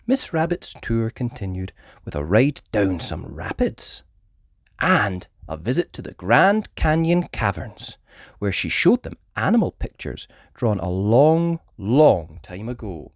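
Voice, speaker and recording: A woman reading a children's story aloud in an animated storytelling style. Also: rumbling low, slow pace, no room sound, clean studio sound.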